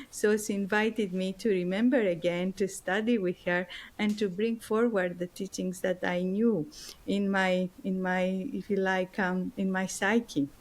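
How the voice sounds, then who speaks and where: A woman from Greece with a Greek accent, an author answering interview questions in an earnest, heartfelt way and often repeating words as she searches for them. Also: medium pitch. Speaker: guest author and spiritual teacher. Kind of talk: interview answers, personal story.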